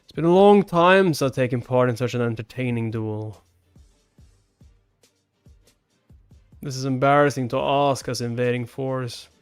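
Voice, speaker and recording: A man voicing a dying, honorable veteran swordmaster in a comic read-aloud, measured and formal. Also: mid-range voice, smooth, no room sound, lightly acted. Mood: solemn, honorable. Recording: music behind the voice.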